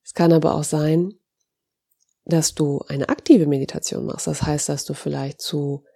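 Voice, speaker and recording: A woman podcast host and holistic coach with a cold, her voice a little hoarse and congested, talking gently and encouragingly with many fillers. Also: low voice, steady tone, normal speed. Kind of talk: podcast monologue.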